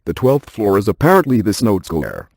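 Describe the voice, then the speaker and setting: An actor playing a brainy, dryly cautious ghost hunter scientist in a video game, speaking in a deadpan, precise, technical way. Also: male voice, on the low side, moderate pace, gravelly, husky, no room sound, heavily acted. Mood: matter-of-fact, explanatory. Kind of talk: scripted video game character dialogue.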